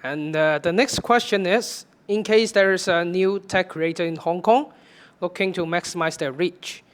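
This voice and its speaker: A man interviews a guest at a tech conference. His questions run long and loop back on themselves, with frequent restarts. His voice sits a little above the usual male pitch. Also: moderate pace, slight background noise.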